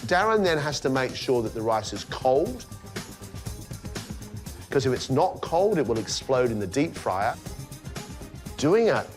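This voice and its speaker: A man judges a cooking competition, his mid-pitched voice explaining each dish with plain enthusiasm. Soft music plays behind him. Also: very animated, unhurried, long pauses. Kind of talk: judging commentary.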